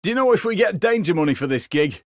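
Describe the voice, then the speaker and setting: A man's voice: high voice, lively intonation, quick pace, no echo, clean studio sound. A man with a British accent voicing a hapless, cheeky video game crook who bluffs sheepishly as a guard. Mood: bluffing, casual. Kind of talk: game voice lines over radio.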